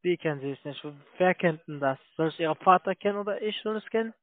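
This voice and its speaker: A man's voice: high-pitched, fast talker, no echo. A man cold-calling as a call-centre agent, pushy and using non-native phrasing. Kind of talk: phone sales call. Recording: narrow, tinny phone line.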